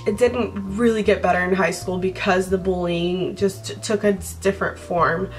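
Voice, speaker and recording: A woman's voice: mid-range voice, steady tone, normal speed, soft background music, low-quality recording. A young woman from Brazil, Portuguese her first language, talking casually to her camera in a bedroom, rambling and laughing often. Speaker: vlogger. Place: bedroom by a window, indoors.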